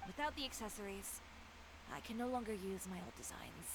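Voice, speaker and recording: An actress playing a proud, self-reliant female tailor who speaks in a dry, composed, quiet way. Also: medium pitch, lively intonation, brisk, very noisy, lightly acted. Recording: game audio with background ambience.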